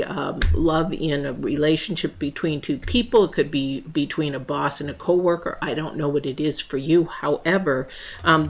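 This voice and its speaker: A woman with a low voice gives a loose, rambling tarot reading, full of ums and restarts. Her voice sounds worn and close to hoarse. A faint hiss of noise sits under the recording. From United States. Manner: rambling, many fillers and restarts. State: losing her voice. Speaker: tarot reader. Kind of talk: casual spiritual reading to camera.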